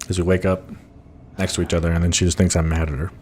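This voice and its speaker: Man, deep groggy voice, blunt quick chat while streaming, faint hiss behind. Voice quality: low voice. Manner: blunt, sounds annoyed even when not. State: groggy, just woke up. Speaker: speedrunner streaming a game. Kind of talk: casual live-stream commentary.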